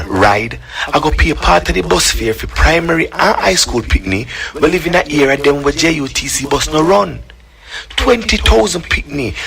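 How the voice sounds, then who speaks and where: A man reads scripted narration in a broad Jamaican Patois accent, his voice gravelly at the edges. His pitch moves in a lively way as he reads. It comes through as a clip played back on radio. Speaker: narrator of a party manifesto clip.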